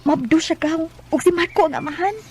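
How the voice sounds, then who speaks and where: Hesitant young Cebuano girl confidante, high voice, radio drama. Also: moderate pace, very noisy. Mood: hesitant, confiding. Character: young female cousin, apologetic, hesitant confidante. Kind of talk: Bisaya radio drama acting.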